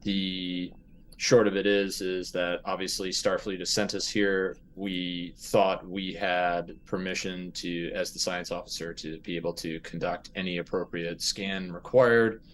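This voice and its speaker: Man, low voice, halting delivery, casual tabletop gaming talk, thinking aloud, faint background noise. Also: flat delivery, fairly bright tone. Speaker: player in a tabletop role-playing game. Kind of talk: casual in-game planning talk.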